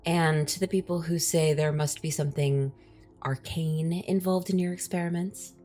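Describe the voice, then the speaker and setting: An actress playing a polite, probing media interviewer in an audio drama, formal and composed. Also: female voice, low voice, fairly even intonation, moderate pace, dark tone, soft background music, lightly acted.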